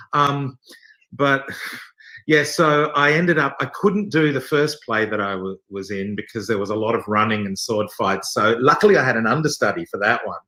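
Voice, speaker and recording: Bright-voiced man in an echoey room. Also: mid-range voice. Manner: jokey, self-deprecating. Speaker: interview guest, theater actor. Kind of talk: animated anecdotal storytelling in casual chat. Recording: roomy, echoey sound.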